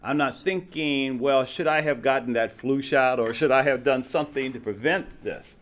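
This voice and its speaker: A man's voice: medium pitch, moderate pace. A man hosting an interview, coaching his guest on branding and explaining with everyday examples. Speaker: podcast host and branding coach. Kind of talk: casual interview chat.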